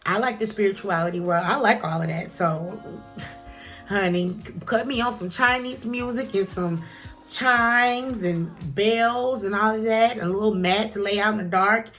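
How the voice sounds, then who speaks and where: A woman chats to camera in an easy, encouraging, slightly preachy way. Her nose is a little stuffy, and her voice sits at a middle pitch. Soft music plays faintly underneath. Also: a little slow. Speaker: vlogger. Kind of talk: casual talk to camera.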